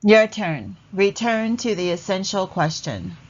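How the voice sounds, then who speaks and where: A woman narrating a read-along lesson for children in a deliberate, instructional way. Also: medium pitch, lively intonation, highs cut off.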